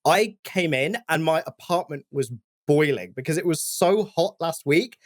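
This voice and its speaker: A man's voice: on the high side, lively intonation, moderate pace, dry recording, clean studio sound. A man co-hosting a podcast, telling stories casually with a slightly scratchy throat from a cold. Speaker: podcast co-host.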